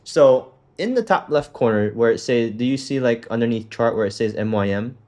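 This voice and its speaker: A man's voice: medium pitch, lively intonation, moderate pace, darkish tone. A man coaching a student through a trading setup on a call, talking loosely with lots of fillers and the odd swear. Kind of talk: casual walkthrough on a call.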